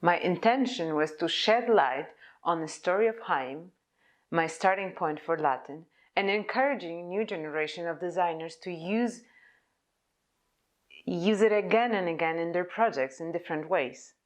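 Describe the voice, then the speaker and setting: A young woman speaks English, her voice sitting a little low. She delivers a prepared conference presentation about her own type design, measured and deliberate, as if reading from notes. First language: Polish.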